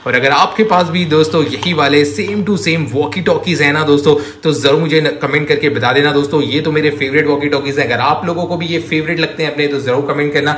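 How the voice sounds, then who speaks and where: A man's voice: on the high side, fairly quick, hardly any pauses, a bit bright. A man enthusiastically showcasing products to viewers, gushing and addressing them as friends. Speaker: host of a toy showcase channel. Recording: roomy echo, audible background noise.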